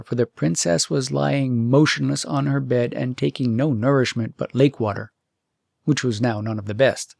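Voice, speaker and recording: Male audiobook narrator for fairytales: expressive, mid-range, some fry in the voice, very dry sound. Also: medium pitch, dry recording. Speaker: audiobook storyteller.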